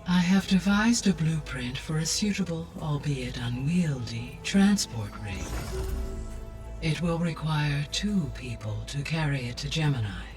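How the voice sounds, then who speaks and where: A man playing a calm, precise artificial intelligence in a video game, speaking in a measured, formal, analytical way. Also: on the high side, recorded from a distance. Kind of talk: scripted video game cutscene acting. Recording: music behind the voice.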